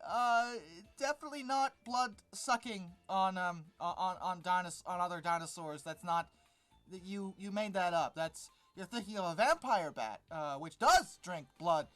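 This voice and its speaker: A YouTube host giving sardonic, exasperated reaction commentary while reading an article aloud, with halting, emphatic repetition. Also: medium pitch, a bit bright, soft background music.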